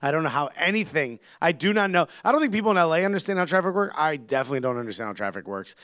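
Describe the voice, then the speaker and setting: A man's voice: on the high side, very fast, dry recording, studio quality. A man from New York, a podcast host, telling stories in casual chat with lots of laughter. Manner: animated storyteller, laughs a lot.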